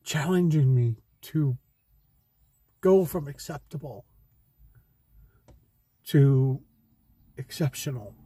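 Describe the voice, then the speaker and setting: A young man speaks slowly and haltingly into a phone held close in a parked car, heartfelt and searching for words. His voice is husky and dark-toned, at an ordinary pitch, with a very unhurried pace. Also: no room sound. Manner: slow, halting and heartfelt, with restarts. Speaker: vlogger. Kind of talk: emotional personal vlog. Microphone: phone camera close in front.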